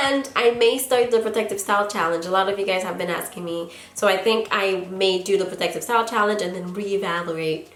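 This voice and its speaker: A woman's voice: medium pitch, fairly bright tone. Young Haitian-born woman chatting, roomy room. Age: young adult. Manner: chatty, laughs often, drawn-out "girl" asides. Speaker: YouTuber answering viewer questions. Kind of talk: casual chat to camera. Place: small indoor studio in front of a plain backdrop.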